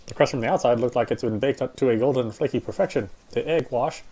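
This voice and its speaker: A man's voice: mid-range voice, fast, mellow. A man reading first-person visual novel narration aloud.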